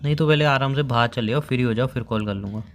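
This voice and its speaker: A man's voice: medium pitch, fast talker, close to an everyday voice. A young man playing a hurt, sulky boyfriend in an everyday phone-call drama, sarcastic and reproachful. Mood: sulky, resigned.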